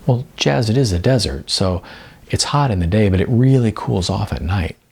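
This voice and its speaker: A man voicing a hesitant, dry, reassuring young woman in an audiobook, in a low-key manner. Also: animated, moderate pace, gravelly, darkish tone, breathy edge, exaggerated voice.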